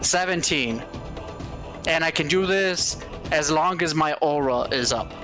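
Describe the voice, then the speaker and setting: A man's voice: fairly high pitch, unhurried. A man chatting casually as a player in a tabletop roleplaying game, stammering a little and swearing now and then. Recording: music playing over the voice.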